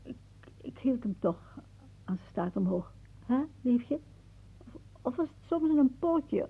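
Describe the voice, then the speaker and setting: An actress playing a whimsical, dreamy elderly aunt in a radio play, musing theatrically and drifting into hushed asides. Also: female voice, fairly high pitch, lively intonation, unhurried, breathy edge, narrow, tinny audio, heavily acted. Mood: wry, reminiscing.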